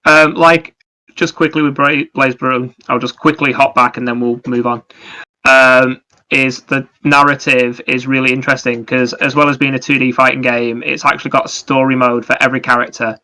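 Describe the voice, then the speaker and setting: Male gaming podcast co-host, forward bright tone, flat intonation, informal conversational explaining. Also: medium pitch, moderate pace, poor audio. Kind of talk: casual chat about video games.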